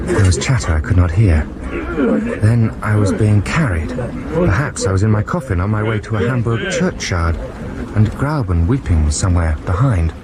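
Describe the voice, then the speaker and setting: An actor playing an earnest man recalling a perilous expedition, in solemn, reflective radio drama narration. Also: deep voice. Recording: very noisy 1990s radio broadcast.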